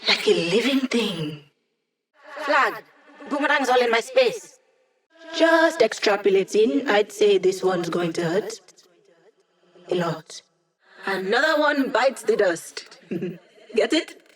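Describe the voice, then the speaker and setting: An actress playing a wry young female hacker who supports the team with dry, sarcastic quips in game voice lines. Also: medium pitch. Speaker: video game character.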